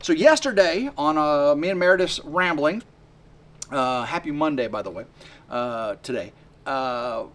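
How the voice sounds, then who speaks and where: Man, mid-fifties, homestead vlogger. Lively, rambling, a bit high. Outdoor delivery. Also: unhurried. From West Tennessee. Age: 50s. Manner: rambling and excitable, many fillers and restarts. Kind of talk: rambling casual vlog to camera. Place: outdoors by a work shed on a sunny day.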